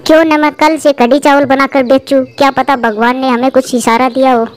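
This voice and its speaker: Woman as a high-voiced, caring mother bird. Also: little pitch movement, a little fast, clear, resonant tone, lightly acted. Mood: hopeful. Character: gentle, hopeful mother bird, devoted wife. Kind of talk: Hindi cartoon moral story acting.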